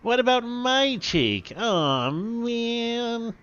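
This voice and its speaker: Shrill, goofy excitable sidekick. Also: male voice, smooth, muffled recording, heavily acted. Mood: comic whining. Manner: exaggerated, drawn-out comic exclamations.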